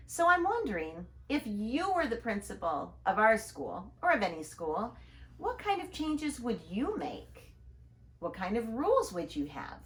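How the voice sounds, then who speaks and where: A woman teacher reading a children's storybook aloud with expressive, deliberate emphasis and chatty asides. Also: on the high side, roomy sound.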